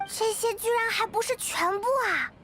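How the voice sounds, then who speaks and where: A woman voicing a tiny, excitable, chatty fairy-like companion with a squeaky childlike voice in an anime game. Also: moderate pace, a crowd in the background. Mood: astonished.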